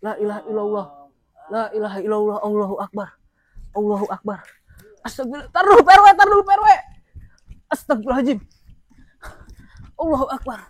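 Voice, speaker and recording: A frightened woman shouting repeated prayers in panic and calling out for help. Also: medium pitch, brisk, long pauses, no echo. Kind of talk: frantic real-time reaction.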